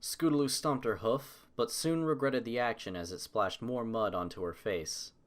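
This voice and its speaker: A man's voice: mid-range voice, normal speed. A man reading scripted story narration as the narrator.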